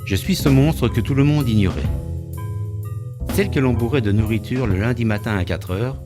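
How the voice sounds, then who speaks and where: A man's voice: mid-range voice, animated, moderate pace, lightly acted. A man playing a forgotten, monstrous old factory boiler in a solemn, poetic theatrical monologue over music. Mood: solemn, nostalgic.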